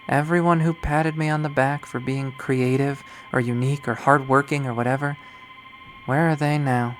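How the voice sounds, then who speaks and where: A man's voice: fairly high pitch, lightly acted, poor audio. Man reading as a jaded, unhinged young woman over music. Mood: bitter. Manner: bitter, profane, rambling monologue. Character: jaded, unhinged young woman artist narrating a violent act. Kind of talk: dramatic visual novel readthrough.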